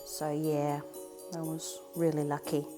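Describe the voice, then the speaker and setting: A woman with an Australian accent, speaking in a measured way in a documentary interview. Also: sits low, flat delivery, over music.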